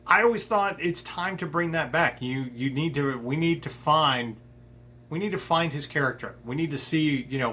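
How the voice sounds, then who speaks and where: Guy show host w a bright forceful voice, chatty opinion talk, kinda halting and circles back on phrases. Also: mid-range voice, a little hiss or hum. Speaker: host of an entertainment news show. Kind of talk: casual opinion talk to the audience.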